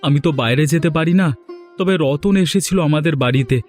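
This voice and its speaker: Here is a cartoon village son played by a man, naive and full of hope. His male voice is a little high and his delivery is simple and earnest, with some music behind. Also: brisk, a little mellow, close to an everyday voice. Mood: apologetic, earnest. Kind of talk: animated story dialogue acting.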